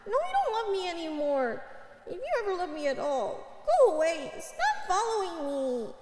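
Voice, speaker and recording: A woman's voice: extremely high pitch, wildly swooping pitch, moderate pace, noisy background, full character voice. A woman playing a timid, clingy young catgirl who stammers and whimpers as she pleads with her master in a bedtime roleplay audio. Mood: frightened, defiant. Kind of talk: roleplay audio drama, bedtime sleep aid. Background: rain and thunder ambience.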